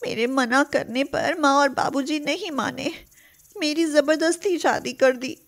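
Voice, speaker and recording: A woman playing a timid, anxious young daughter-in-law in an animated story, hesitant and pleading. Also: sits high, unhurried. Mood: worried.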